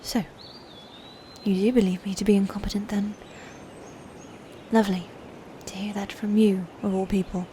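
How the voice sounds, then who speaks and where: A woman's voice: mid-range voice, level tone, mellow, a little hiss or hum, lightly acted. A woman playing a proud, playfully cruel female knight in a scripted medieval fantasy audio roleplay, with theatrical, formal old-world phrasing. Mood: wounded, accusing. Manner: theatrical, archaic formal phrasing, slow and deliberate.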